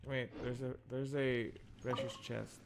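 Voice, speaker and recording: A man's voice: medium pitch, little pitch movement, measured pace, gravelly, heavy background noise. A man giving loose, casual playthrough commentary as a game streamer, thinking aloud as he goes. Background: game music.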